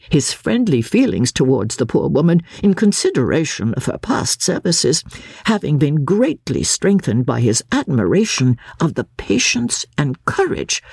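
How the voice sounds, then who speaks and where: A woman reading a classic novel dramatically as a formal, judicious Victorian male lawyer, with deliberate, expressive phrasing. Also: low voice, creaky, breathy edge, dry recording, studio quality, full character voice.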